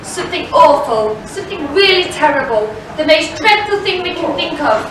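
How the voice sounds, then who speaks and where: A woman's voice: high voice, expressive, normal speed. A woman playing a stage pantomime character with emphatic, declamatory theatrical delivery. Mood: scheming. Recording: distant, very echoey, clear background noise.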